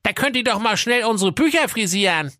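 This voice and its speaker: Guy doing a bumbling hr clerk from a silly fairytale, sharp tone, hesitant but dismissive. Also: sits high, lively intonation, fairly quick, no echo, studio quality, pitched above the performer's own voice. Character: fussy, bumbling male personnel clerk. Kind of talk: comic fairy-tale audio story.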